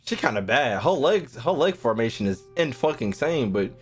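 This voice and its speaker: A young man streaming a game with animated, slangy, profane casual commentary, often repeating his phrases. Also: mid-range voice, moderate pace. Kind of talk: casual live gaming commentary.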